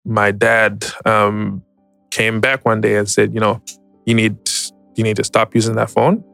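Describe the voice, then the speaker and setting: A man tells a personal story as an interview guest, reflective and hesitant, pausing often. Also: on the low side, monotone, creaky, a bit bright, no room sound, soft background music. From Zimbabwe. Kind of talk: personal testimony, conversational storytelling.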